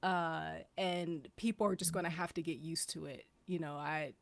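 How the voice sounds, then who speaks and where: A woman's voice: low voice, fairly even intonation, normal speed, vocal fry, dry recording. A woman explaining her views colloquially in a casual panel discussion.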